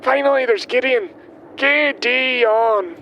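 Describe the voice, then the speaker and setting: An actor playing a kindly, guileless milkman in an audio drama, rambling haltingly as he records his will. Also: extremely high pitch, dull audio. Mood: fond, wistful. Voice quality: hesitant, halting delivery. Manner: chatty, guileless, wandering off on tangents. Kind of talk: audio drama monologue, spoken as a voice diary. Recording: faint vehicle noise behind.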